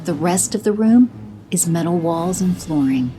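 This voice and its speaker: A woman giving steady scripted narration for an audio drama. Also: fairly low pitch, normal speed, noisy background. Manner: steady, descriptive.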